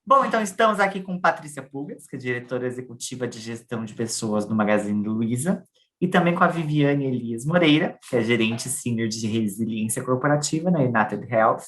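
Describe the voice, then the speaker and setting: A woman's voice: a bass voice, animated, fairly quick. A Brazilian woman hosting a podcast with casual, bantering chat. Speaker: podcast host.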